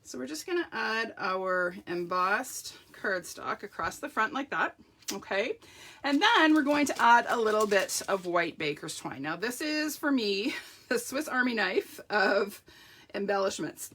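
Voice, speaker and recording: Croaky-voiced woman demoing card crafts. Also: medium pitch, expressive, measured pace, fairly bright tone. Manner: chatty, self-deprecating, talks while working with hands. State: croaky sore throat. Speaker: card-making demonstrator. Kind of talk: live craft tutorial with viewer shout-outs.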